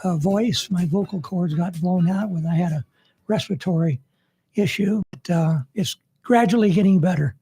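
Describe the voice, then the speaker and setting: A man co-hosting a local radio talk show, his voice hoarse, talking slowly with many pauses and fillers. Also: high voice, mellow, faint music behind. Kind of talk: casual radio chat. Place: radio studio.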